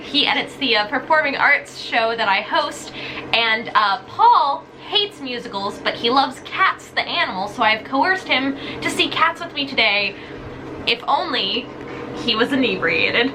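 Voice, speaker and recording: A woman's voice: sits high, lively intonation, a little slow, background noise. A young woman hosting an upbeat vlog, speaking in an enthusiastic, theatrical way. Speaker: vlogger and show host. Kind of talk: upbeat vlog chat to camera.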